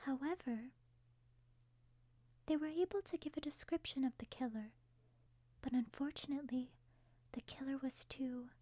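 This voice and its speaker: Woman acting as Sonia, a high soft half-whispering princess. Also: no room sound. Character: young princess, refined, eager serial-killer enthusiast. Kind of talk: ASMR roleplay.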